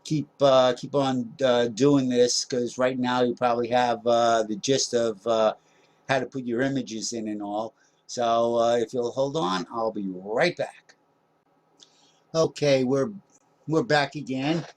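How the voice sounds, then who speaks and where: A man casually narrating a screen-recorded software tutorial, rambling with many fillers and small jokes about himself. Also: medium pitch, a little slow. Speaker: tutorial host.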